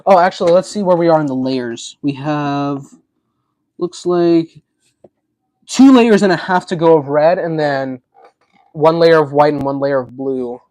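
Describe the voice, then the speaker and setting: A man's voice: a little high, a little slow, darkish tone, no room sound, low-quality recording. Chatty man with a slight Russian accent. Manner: chatty, laughs often, answers chat comments. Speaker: livestream host. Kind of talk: casual livestream chat while building.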